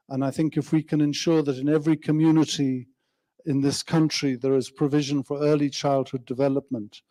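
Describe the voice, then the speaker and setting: A man making an earnest, measured contribution at a policy meeting. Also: on the high side, warm tone, poor audio. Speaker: meeting participant.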